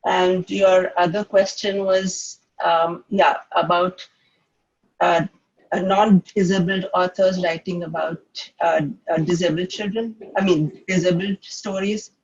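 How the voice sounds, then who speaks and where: An adult speaks with a stammer, stretching and repeating sounds between many fillers. The voice is breathy and husky at a mid pitch. It comes through a muffled, lo-fi online call line. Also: flat delivery, slow pace. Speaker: children's author and panelist. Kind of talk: panel discussion answer.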